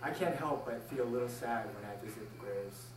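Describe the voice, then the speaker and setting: A young man originally from Taiwan gives a prepared personal speech in a deliberate, measured way with pauses. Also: mid-range voice. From born in Taiwan, moved to the United States at six. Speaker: speech club member giving a speech. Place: indoor room, recorded from a distance. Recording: roomy, distant mic with faint noise.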